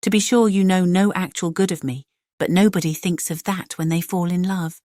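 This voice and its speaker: A woman's voice: on the low side, moderate pace, mellow, dry recording, studio quality, close to an everyday voice. A woman voicing a playful, teasing, spirited young lady in a classic novel audiobook.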